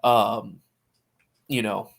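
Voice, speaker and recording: A man's voice: on the low side, level tone, extremely slow, vocal fry, fairly bright tone. A man co-hosting a sports podcast in casual, opinionated conversation, often restarting his phrases. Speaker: soccer podcast co-host.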